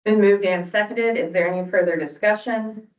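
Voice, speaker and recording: A woman's voice: mid-range voice, steady tone, normal speed. Distant, echoey woman chairing a meeting. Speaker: commission chair. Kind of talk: formal meeting procedure. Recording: roomy, distant, muffled meeting-room audio.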